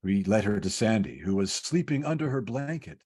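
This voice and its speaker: A man playing a calm, patient senior angel who explains things in the scripted style of an old-time radio play. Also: on the low side, moderate pace, husky. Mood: reassuring. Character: senior male angel Joseph, calm, patient, instructive overseer.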